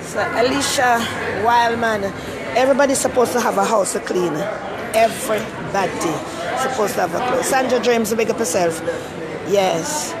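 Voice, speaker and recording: A woman's voice: on the high side, moderate pace, over a noisy crowd. A woman from Jamaica with a Jamaican accent, hosting a rambling, chatty live stream from a busy city station area and greeting viewers by name. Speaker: talk show host. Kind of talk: casual live-stream chat. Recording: loud street and traffic noise.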